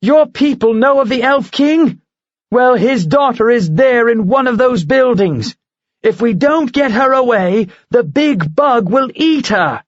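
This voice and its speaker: A man's voice: very high-pitched, takes it slowly, no room sound, voice pushed up. A man doing an urgent young woman rider. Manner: urgent, commanding. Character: determined young woman riding an eagle. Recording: dull lo-fi recording.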